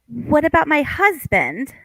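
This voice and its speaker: A woman's voice: high-pitched, big pitch swings, a little slow, vocal fry, sharp tone, dry recording, heavily acted, low-quality recording. A woman playing a flustered, wry young housewife in a table read of a stage comedy. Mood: flustered, wary. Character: flustered young housewife, wary yet tempted, dryly teasing.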